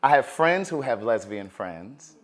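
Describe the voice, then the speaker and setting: A middle-aged man hosts a relaxed sit-down conversation. He sounds friendly and chatty at an average pitch, and he often starts his sentences over. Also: a little slow. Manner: friendly, talks in loose restarting sentences. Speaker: host. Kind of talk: relaxed interview chat.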